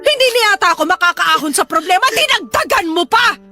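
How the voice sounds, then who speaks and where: A woman's voice: very high-pitched, lively intonation, fairly quick, pushed and bright, exaggerated voice. A woman playing a harsh, hard-drinking, scolding mother in a Filipino radio drama, blunt and confrontational. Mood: furious. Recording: music behind the voice.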